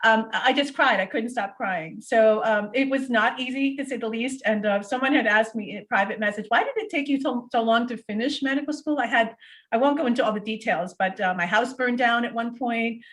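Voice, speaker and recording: Brief: middle-aged woman, native Portuguese speaker, interview guest, quick and animated, slightly high voice, lots of ums. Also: rough recording. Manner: fast, animated storytelling with many fillers. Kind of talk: casual interview conversation.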